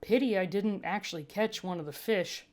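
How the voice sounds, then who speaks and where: A woman voicing a gruff, weary human medic with a blunt, emphatic, argumentative way of talking, in a story reading. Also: mid-range voice, normal speed, a little mellow, lightly acted. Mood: weary, resolved.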